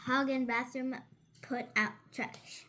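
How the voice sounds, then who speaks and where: A woman reading along with a storybook in a halting, word-by-word way, with playful interjections, over background noise. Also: high voice, steady tone, bright, forceful tone. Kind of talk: informal read-along with interjections.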